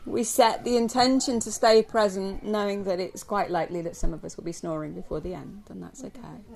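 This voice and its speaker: A woman from England leading a guided yoga nidra meditation for a group, speaking gently and slowly with long pauses. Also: mid-range voice, gravelly, low-quality recording. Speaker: yoga nidra teacher guiding a relaxation. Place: live group session at a camp. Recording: steady ambient noise, live recording.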